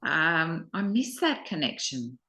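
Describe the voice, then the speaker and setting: A woman taking part in a study session, sharing personal reflections in a halting, introspective way. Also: low-pitched, sing-song, sharp tone. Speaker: study partner.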